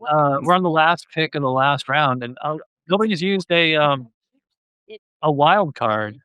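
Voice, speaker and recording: A man's voice: on the high side, measured pace, sharp tone, clear, resonant tone, no echo, lo-fi sound. A man hosting a podcast, chatting casually about music and often searching for words. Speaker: podcast host.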